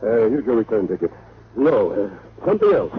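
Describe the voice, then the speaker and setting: An actor playing a brisk, businesslike insurance man with a formal, insistent manner in 1940s radio style. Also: fairly low pitch, very animated. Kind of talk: 1940s radio drama acting. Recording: tinny, hissy old-time radio recording.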